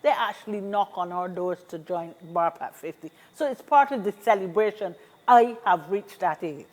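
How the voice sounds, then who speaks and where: A woman's voice: mid-range voice, expressive, normal speed, darkish tone. Bajan woman in her 60s, chatting in an interview, emphatic, repeats herself to make the point. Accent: Barbadian. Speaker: interview guest, seniors' advocate. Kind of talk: conversational interview, encouraging advice.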